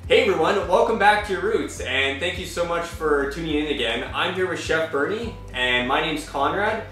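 Friendly co-host in an echoey kitchen. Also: male voice, on the high side, lively intonation, normal speed, a bit bright. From Canada. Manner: friendly, hesitant with many "uh" fillers. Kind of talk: casual cooking show presenting. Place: large home kitchen, indoors. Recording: roomy, distant sound with light music.

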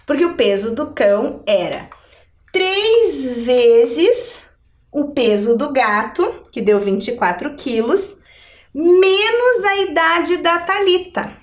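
A woman's voice: high-pitched, animated, slow pace, far from the mic. A middle-aged woman teaching a televised maths lesson step by step, often checking in with questions. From Brazil. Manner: didactic, checking in with rhetorical questions. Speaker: adult education maths teacher. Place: indoor TV lesson studio. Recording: roomy echo, somewhat off the mic.

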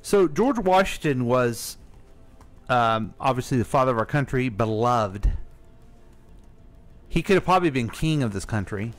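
A man's voice: medium pitch, expressive, unhurried, long pauses, soft background music. A man co-hosting a radio show in casual, informal conversation. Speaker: radio co-host asking questions.

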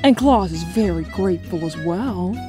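A woman voicing a weary, sardonic guardian of a child in an animated comic dub, dry and exasperated. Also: lowish voice, normal speed, close to an everyday voice. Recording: music behind the voice.

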